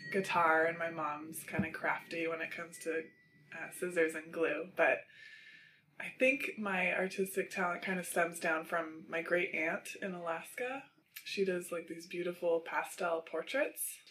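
A woman guest recounting her creative childhood in a friendly, relaxed way, recorded outdoors on a patio. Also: fairly low pitch. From Seattle area. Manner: friendly, relaxed storytelling with small laughs. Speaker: artist and florist guest. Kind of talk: casual interview.

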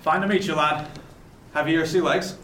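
A man playing a hearty, high-voiced first mate. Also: fairly even intonation, moderate pace, fairly bright tone, slight background noise, close to an everyday voice. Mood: hearty, commanding. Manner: genial authority, nautical turns of phrase. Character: hearty, respected male first mate of merchant ship. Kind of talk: period radio drama acting. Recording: echoey room with faint music.

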